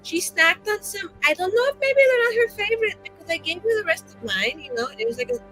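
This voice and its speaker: A woman's voice: extremely high pitch, very animated, fast, fairly bright tone, soft background music, exaggerated voice, low-quality recording. A woman playing a chatty, earnest fantasy adventurer in improvised tabletop banter, rambling as she gossips. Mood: chatty, musing. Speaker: tabletop roleplay player.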